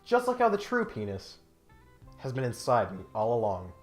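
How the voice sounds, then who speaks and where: Man, comedic video narrator, animated pitch, sardonic and exasperated, roomy sound, faint music behind. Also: moderate pace. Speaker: host of a comedic critique video. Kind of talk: scripted comedic narration with mock-serious readings and exasperated asides.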